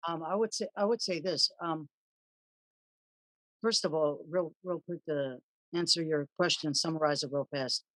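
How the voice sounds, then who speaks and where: A man on a panel answering a question, explaining slowly with hesitant pauses. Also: fairly high pitch, a bit bright, no echo, poor audio. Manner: hesitant, explanatory, many ums. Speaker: panel guest.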